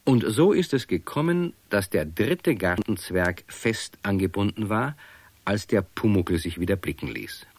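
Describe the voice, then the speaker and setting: A man's voice: on the low side, lively intonation, unhurried, no echo. A man as storyteller, reading scripted narration for a children's radio play. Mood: narrating.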